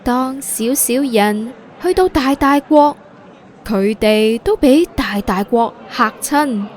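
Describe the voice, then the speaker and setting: High-voiced Hong Kong woman telling children's stories slowly. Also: a little mellow, a little hiss or hum. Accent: Hong Kong Cantonese. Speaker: children's story podcast host and narrator. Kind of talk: scripted storytelling for children.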